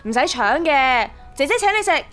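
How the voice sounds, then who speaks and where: A teasing, practical young woman in a radio drama, with a Hong Kong accent. Her voice is bright and on the high side, colloquial and gently bossy, with faint music underneath. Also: sing-song, normal speed.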